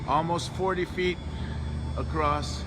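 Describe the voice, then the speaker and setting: A man's voice: on the high side, steady tone, slow, music in the background. A tired man who is losing his voice, rambling offhand through a handheld walkthrough of a big convention build. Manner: rambling, offhand, trails off mid-sentence. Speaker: diorama workshop host. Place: convention hall floor. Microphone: handheld phone camera.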